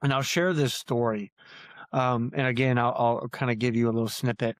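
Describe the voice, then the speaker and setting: A man's voice: mid-range voice, steady tone, normal speed, no room sound. A man talking earnestly as an author guest on a podcast, repeating phrases for emphasis. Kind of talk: earnest podcast interview talk.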